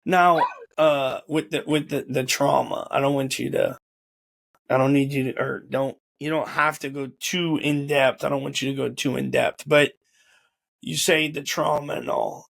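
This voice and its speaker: A young man with a gravelly, creaky voice leads a relaxed interview in a loose, conversational way. He often restarts and repeats words while he works out his questions. His pitch sits in the middle of the male range. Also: moderate pace. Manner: informal, repeats words as he thinks. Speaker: host. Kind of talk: casual interview chat. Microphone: video call or home camera.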